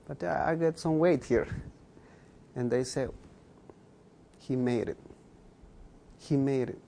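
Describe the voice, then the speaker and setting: Slow gravelly guy w a k'iche' mayan accent, earnest, repeats himself to make a point. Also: mid-range voice, mellow, slight background noise. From Guatemala. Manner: earnest, repeats phrases for emphasis. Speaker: guest. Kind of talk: earnest interview talk.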